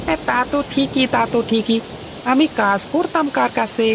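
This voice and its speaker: A woman voicing a cheeky, grumbling male household servant in a cartoon, with a creaky voice and a mocking, whiny manner. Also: on the high side, moderate pace, background noise. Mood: teasing. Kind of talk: Bengali cartoon voice acting.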